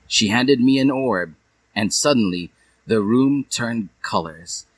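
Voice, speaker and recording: A man's voice: medium pitch, animated, slow, no echo, low-quality recording. A man narrating fan fiction as a comic dramatic reading, with a theatrical, mock-serious delivery.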